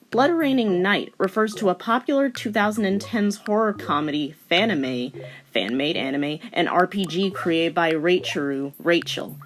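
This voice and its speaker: A woman hosting a video in a playful, tongue-in-cheek way, with casual scripted commentary and dramatic reading. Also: on the low side. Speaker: YouTube host and narrator.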